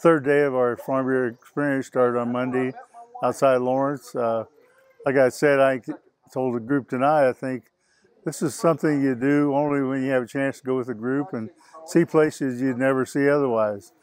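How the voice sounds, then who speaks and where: A man's voice: mid-range voice, fairly even intonation, moderate pace, darkish tone, smooth. A man on a farm group tour talking casually and a bit ramblingly about what he saw. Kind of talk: casual interview.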